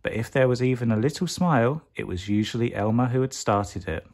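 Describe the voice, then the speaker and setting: Male storyteller for children's picture book read-aloud. Mid-range pitch, expressive, deliberate, drawn-out emphasis.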